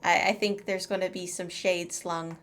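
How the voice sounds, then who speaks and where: A woman's voice: on the low side, little pitch movement, normal speed, a little hiss or hum. A woman doing casual, playful gameplay commentary as a streamer, chatting away to herself. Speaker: game streamer. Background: game music.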